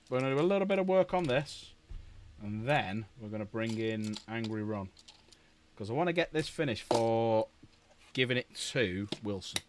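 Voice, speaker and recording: A man's voice: mid-range voice, slight background noise. A man from England chatting casually on a live stream while painting miniatures, laughing and swearing now and then.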